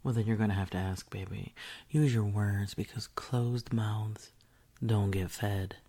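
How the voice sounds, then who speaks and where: A woman doing an intimate whispered audio roleplay, teasing and commanding in a breathy whisper close to the mic. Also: extremely low pitch, steady tone, measured pace, mellow.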